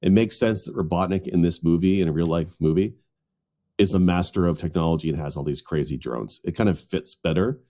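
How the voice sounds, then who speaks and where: A man's voice: low-pitched, a little fast, dark tone. A man on a podcast panel, explaining his views in casual, conversational talk. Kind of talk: casual panel chat about movies. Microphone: remote video call.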